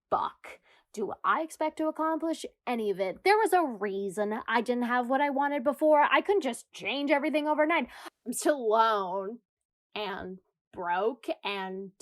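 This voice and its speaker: Slow-paced, anxious, self-mocking woman played by an actress. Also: fairly high pitch, close to an everyday voice. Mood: exasperated, despairing. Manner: wry, self-mocking, dramatic, swearing. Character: anxious self-deprecating woman spiraling into existential crisis. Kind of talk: radio comedy monologue, confessional narration.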